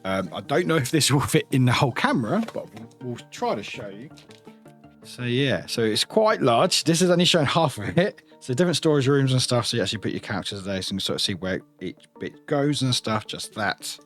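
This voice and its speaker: Man, lively intonation, casual product reviewer, informal and appreciative, loose phrasing, faint music behind. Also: medium pitch, fairly quick. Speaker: product reviewer, unboxing host. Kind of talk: casual show-and-tell commentary, sometimes reading blurbs aloud.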